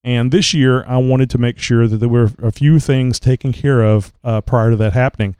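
A man hosting a casual online show talks in a loose, rambling way, stopping often on filler words. His voice is mellow and smooth, though a little crackly as he gets over a cold. The sound is dry and close. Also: medium pitch, steady tone, normal speed, no echo. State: recovering from a cold, slight crackle. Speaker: podcast host. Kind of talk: casual chat.

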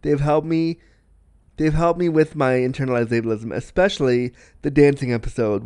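A man's voice: mid-range voice, a little mellow, no echo, studio quality. A man hosting a podcast, chatting casually and rambling, sniffly with a cold. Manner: chatty, rambling, repeats himself. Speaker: podcast host. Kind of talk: casual solo podcast chat.

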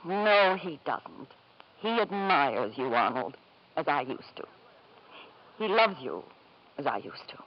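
A woman's voice: low voice, very animated, unhurried, long pauses, lo-fi sound, exaggerated voice. An actress playing a bitter, weary wife and anxious mother in a 1950s radio drama, formal and emotional, on an old broadcast recording. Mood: bitter, wounded.

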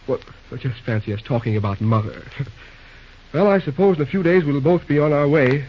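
An actor playing a glum, shyly lovesick young railroad boss in an old-time radio drama, speaking in a hesitant, folksy, husky way. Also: male voice, on the high side, animated. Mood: wistful, casual. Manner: hesitant, folksy, halting delivery. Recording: dull, lo-fi old radio recording.

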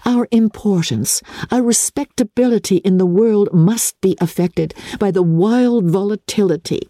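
A woman voicing an earnest, sensible young gentlewoman from a classic novel, formal and pleading. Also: medium pitch, measured pace, no echo, studio quality, voice pushed up, lightly acted. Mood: earnest, urgent.

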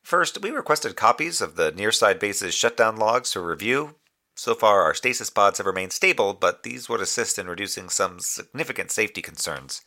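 Deep, gravelly moonbase officer. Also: male voice, animated, normal speed, pushed and bright. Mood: businesslike. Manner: precise, formal, lyrical, somewhat fussy. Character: earnest, formal male moonbase communications officer, quietly wistful. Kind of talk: audio drama monologue as a transmitted report.

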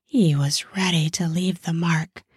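A woman narrator reads an erotic story in a lowish, husky voice. She takes her time, very slowly drawing out phrases with intimate deliberation. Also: fairly even intonation, darkish tone, no echo, studio quality.

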